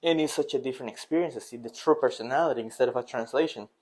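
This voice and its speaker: Man, teacher, earnest and rambling, mid pitch. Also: rapid-fire, darkish tone. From Costa Rica. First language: Spanish. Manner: rambling, earnest, with many restarts and fillers. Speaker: Spanish teacher. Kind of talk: casual motivational talk to camera.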